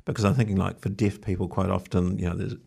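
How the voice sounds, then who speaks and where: A man's voice: low-pitched, level tone, fast talker, vocal fry, warm tone, breathy edge. A man hosting a show and asking his guest questions in a casual, conversational way. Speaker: radio or podcast interviewer.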